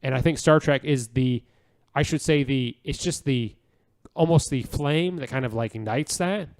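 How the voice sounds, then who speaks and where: A man's voice: medium pitch, normal speed, a little mellow. A man co-hosting a podcast, chatting casually and enthusiastically in a rambling, gushing way that repeats phrases. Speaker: podcast co-host.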